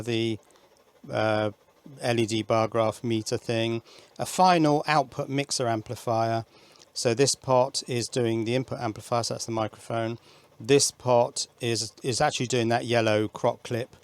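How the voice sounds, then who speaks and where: A man's voice: mid-range voice, steady tone, unhurried, smooth. A man presenting an informal hands-on electronics demonstration, thinking aloud and correcting himself as he explains.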